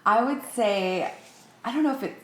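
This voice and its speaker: A woman of middling pitch talks as a guest on a casual podcast. She is chatty and informal, telling stories in loose conversational phrases. The room adds a clear echo around her voice. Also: moderate pace, fairly bright tone. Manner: chatty, informal, peppers speech with "like". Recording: roomy, echoing room sound.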